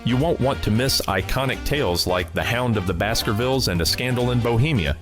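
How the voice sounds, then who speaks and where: A man's voice: mid-range voice, lively intonation, brisk, over music. A man hosting a radio program with scripted, promotional narration. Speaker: podcast and radio program host.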